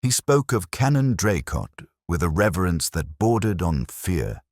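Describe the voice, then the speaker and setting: Very deep-voiced man narrating a detective story. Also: very animated, unhurried, darkish tone, no echo, studio quality. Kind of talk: scripted first-person detective story narration.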